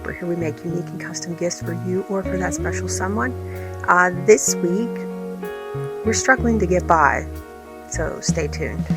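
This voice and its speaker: A woman hosting a casual vlog, her voice raspy and tired, rambling with many fillers and restarts. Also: lowish voice, normal speed, music in the background. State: tired, recovering from illness. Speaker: vlog host and small craft business owner. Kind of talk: casual chatty vlog update.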